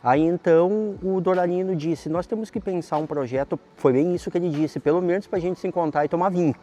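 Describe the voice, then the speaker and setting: A man's voice: sits high, a little fast, warm tone, slight background noise. A man with a southern Brazilian accent speaking articulately and reflectively in a documentary interview. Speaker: interviewee, literature teacher and poet. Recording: faint music behind the voice.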